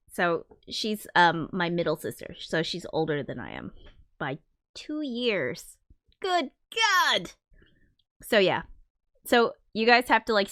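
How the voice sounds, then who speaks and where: A woman's voice: medium pitch, fairly bright tone, dry recording, studio quality. A young woman hosting her own livestream, chatting casually and a bit self-deprecatingly while a little sick and sniffly. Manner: chatty, self-deprecating, swearing, quick laughs. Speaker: livestream host.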